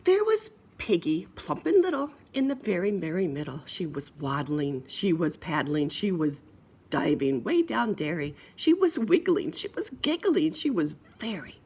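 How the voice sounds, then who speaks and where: Expressive, swooping woman storyteller, slightly husky. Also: on the low side, moderate pace. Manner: playful, expressive, sing-song. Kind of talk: children's picture-book read-aloud with asides.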